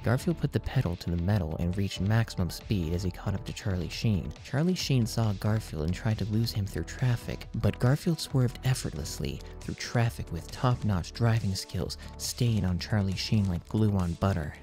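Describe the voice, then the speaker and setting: A man's voice: fairly low pitch, lively intonation, normal speed, hardly any pauses, warm tone, faint music behind. A man narrating absurd fan fiction as a scripted audiobook reading. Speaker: audiobook reader.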